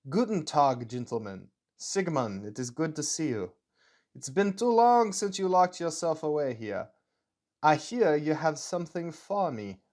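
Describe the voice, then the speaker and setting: Casting: man performing wartime Nazi officer, German accent, deliberate and formal, coldly menacing story villain. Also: a little high, sing-song, a little mellow. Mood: cordial, commanding. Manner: slow, deliberate, formal delivery.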